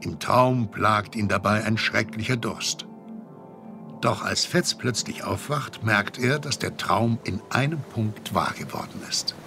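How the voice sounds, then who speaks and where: A man's voice: on the low side, a little slow, gravelly, music in the background. A man narrating a scripted story for a children's audio drama.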